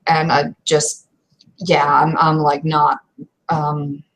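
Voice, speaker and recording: A woman playing an awkward, evasive young werewolf in a tabletop roleplay, with halting, slangy, stammering speech. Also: sits low, flat delivery, fairly bright tone, pitched below the performer's own voice, full character voice.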